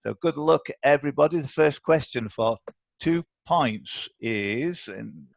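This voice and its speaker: A man's voice: medium pitch, lively intonation, measured pace, gravelly, no room sound. A man hosting a live online quiz, chatty and encouraging as he reads questions aloud. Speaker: quiz host. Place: online video call.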